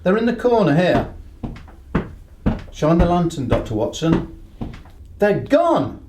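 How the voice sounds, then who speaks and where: A roomy echo and faint noise sit around a deferential stableman. His voice is animated and excitable. He speaks respectfully, in radio play style. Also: high voice, slow pace, full character voice. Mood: anxious. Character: deferential, nervous male stable trainer servant.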